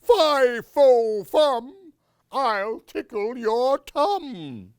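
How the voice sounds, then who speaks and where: A woman's voice: a little high, lively intonation, very slow, smooth, dry recording, clean studio sound, pitched above the performer's own voice. A woman voicing silly pretend monsters that roar and threaten to gobble. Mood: growly. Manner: exaggerated roaring and gobbling.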